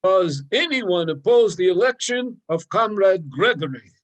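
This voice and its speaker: Man playing a high-voiced, formal meeting chairman. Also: sing-song, a little slow, heavy background noise. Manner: formal, procedural. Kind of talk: amateur radio play reading. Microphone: video call.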